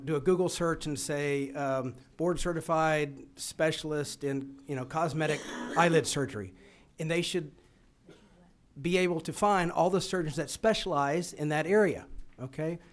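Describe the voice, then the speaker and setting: A man, an eye surgeon, testifying before a legislative committee, hesitant with many fillers and restarts. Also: a little high. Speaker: physician testifying.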